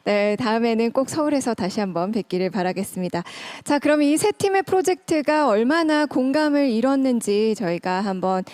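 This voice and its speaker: A woman moderating a conference, asking panelists questions politely and briskly. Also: fairly high pitch, expressive, a little mellow, dry recording. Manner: brisk and polite, slows down and speaks carefully in English. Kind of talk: bilingual moderating, switching between Korean and English. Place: conference room.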